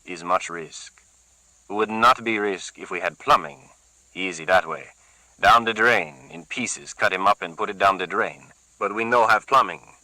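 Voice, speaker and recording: A man's voice: bright, forceful tone, no echo, heavily acted, rough recording. A man doing a brooding gangster Gerardo. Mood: cold. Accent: Puerto Rican Spanish. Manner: slow, low, murmuring, broken English. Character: Puerto Rican man, brooding, menacing gang leader.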